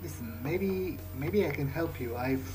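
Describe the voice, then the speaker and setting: A man giving in-character playthrough commentary on a game, talking conversationally in halting, hesitant phrases. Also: a little high, lively intonation, low-quality recording. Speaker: gamer role-playing his character. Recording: game music behind the voice. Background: game music.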